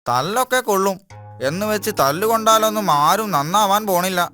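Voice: medium pitch, lively intonation, a little fast, sharp tone, soft background music. A performer voicing a mischievous, carefree young animal in a children's cartoon, who teases a friend. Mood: teasing. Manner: teasing, mocking.

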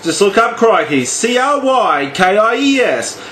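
High-pitched man on CB radio, noisy. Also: very animated, unhurried, fairly bright tone. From Australia. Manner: repetitive, showy radio patter. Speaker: CB radio operator. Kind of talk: CB radio chatter with repeated call signs and slang. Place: indoors at a radio set. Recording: clearly noisy room beside a radio. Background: radio noise.

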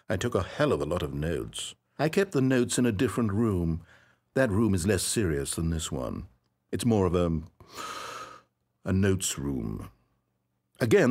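A man playing a fussy, controlling video game narrator who talks in a dry, sarcastic, mock-patient way. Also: medium pitch.

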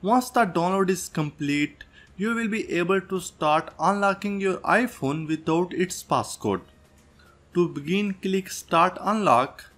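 High-pitched man narrating a tech tutorial. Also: a little slow, a bit bright. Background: background music.

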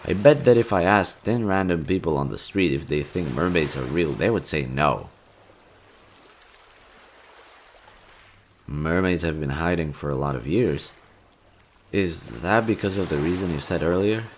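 Low-voiced, kind, bashful young man acted by a man. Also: lively intonation, moderate pace, a little hiss or hum. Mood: gentle, reassuring. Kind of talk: audio roleplay speaking softly to the listener.

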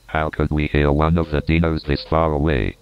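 A man's voice: very deep voice, level tone, unhurried, clean tone, muffled recording. An actor playing a nervous male park worker, speaking in an uneasy, worried way on a tape recording. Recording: noisy found-footage tape.

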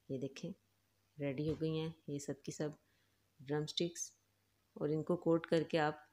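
A woman hosting a home cooking channel, giving calm, instructive step-by-step recipe narration. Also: deep voice. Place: home kitchen.

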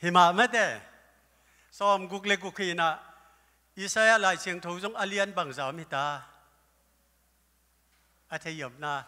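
An older man preaching a sermon at a lectern with a handheld microphone, breaking into loud exclamations now and then. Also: high-pitched. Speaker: pastor. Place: church hall stage. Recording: live church hall with long reverb.

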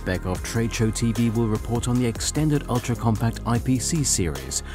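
A man reading a scripted news voice-over in a measured, formal way. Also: medium pitch, animated. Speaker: narrator. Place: studio voice-over. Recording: voice-over over loud music. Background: music.

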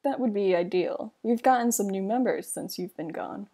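Woman playing mellow, hesitant guild mage. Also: medium pitch, close to an everyday voice. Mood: subdued. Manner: halting, hesitant. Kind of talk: improvised fantasy game roleplay.

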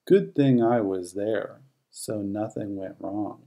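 A man reading a rhyming children's picture book aloud in an expressive, measured storytelling manner. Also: fairly low pitch, vocal fry.

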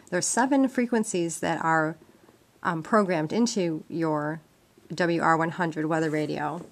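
A woman gives an informal, practical step-by-step demonstration in a conversational, explanatory way. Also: fairly low pitch. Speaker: instructor demonstrating a gadget. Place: indoors at a wooden table. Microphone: handheld camera mic, off screen. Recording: noisy amateur camcorder sound with clicks and beeps.

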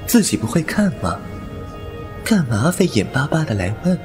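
A man's voice: fairly high pitch, big pitch swings, moderate pace. A man playing a fox spirit painter who narrates his story in a languid, teasing manner, with music behind him. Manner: languid, wry and teasing, laughs softly. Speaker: narrator and lead. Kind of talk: Chinese audio drama acting. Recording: over background music.

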